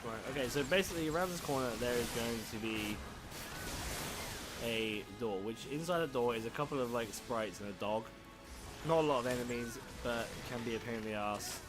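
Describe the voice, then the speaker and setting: A young man with a croaky, sore throat, casually commentating a video game, self-deprecating and swearing freely. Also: mid-range voice, brisk. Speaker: gameplay commentator. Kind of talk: casual let's play commentary. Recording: game music playing behind.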